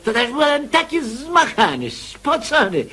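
A man playing a pompous, excitable party organiser who boasts and bustles, in a radio comedy. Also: very high voice, measured pace, breathy edge, heavily acted. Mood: boastful, excited. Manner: pompous, excitable, self-important. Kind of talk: theatrical radio comedy acting.

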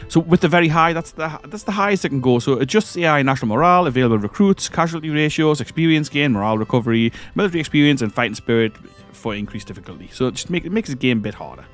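Man, croaky with a cold, gaming commentator, casual and stumbling, faint background music. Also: a little high, lively intonation, very fast, hardly any pauses, a bit bright. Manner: rambling, hesitant, self-correcting. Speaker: gaming channel host. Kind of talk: casual let's-play commentary.

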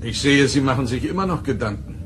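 An actor playing an authoritative starship captain in an audio drama, formal and commanding. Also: male voice, medium pitch, lively intonation, fast talker, a bit bright, a little hiss or hum, close to an everyday voice. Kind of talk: German audio drama acting.

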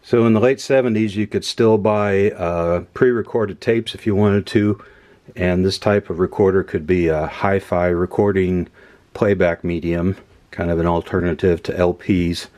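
A man casually demonstrating old equipment at a workbench, unhurried and thinking aloud with many fillers. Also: sits low, steady tone, darkish tone. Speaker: electronics hobbyist host. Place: workbench room indoors.

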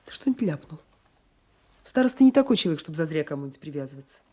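Низкоголосую ворчливую крестьянскую жену. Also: female voice, sits low, big pitch swings, rapid-fire, a little mellow. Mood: scolding. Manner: reproachful, sighing. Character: scolding, practical young peasant wife. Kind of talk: 1980s Soviet radio drama acting. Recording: noisy old radio drama recording.

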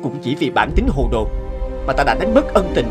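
A man voicing a humble, remorseful mandarin official in an animated folk tale. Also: mid-range voice, brisk, natural voice. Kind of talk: Vietnamese cartoon dubbing. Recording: music under the voice.

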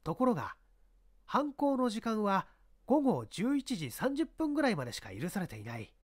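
A man's voice: high voice, huge pitch swings, moderate pace, heavily acted. A man voicing a confident police inspector in a dramatised detective story, explaining his deductions in an emphatic, rhetorical way. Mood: confident, explanatory.

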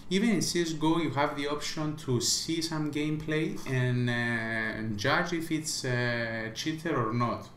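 A man's voice: mid-range voice, measured pace, few pauses, fairly bright tone, reverberant, slight background noise. A man who does not speak English natively, giving an emphatic opinion rant as a small gaming vlogger, repeating himself and stumbling through restarts.

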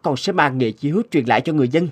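An actor playing an earnest, decisive young scholar-official in a cartoon, resolute and respectful. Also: male voice, fairly high pitch, fast talker, slightly rough.

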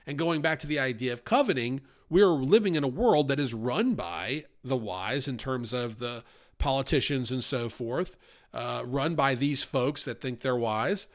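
A man's voice: mid-range voice, normal speed. A man leading an informal Bible study podcast, talking in an earnest, conversational way and pushing his voice up for emphasis.

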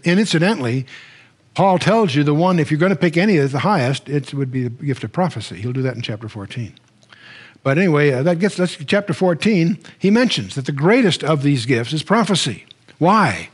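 An older man teaching a Bible lecture from a lectern, conversational and wry, with frequent restarts. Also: on the high side. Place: studio stage, standing at a lectern.

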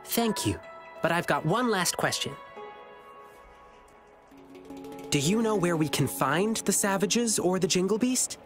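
An alert, earnest young game hero, a boy acted by a woman. The voice sits fairly low for a woman and moves around with lots of expression. Light music is behind it. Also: animated, moderate pace, natural voice. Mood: confident. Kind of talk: video game voice acting.

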